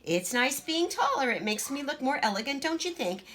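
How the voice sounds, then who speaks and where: Woman playing a high-pitched, bossy little girl. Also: big pitch swings, moderate pace, a bit bright, slight background noise. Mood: boastful. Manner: gushing, emphatic, know-it-all. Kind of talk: children's storybook character voice.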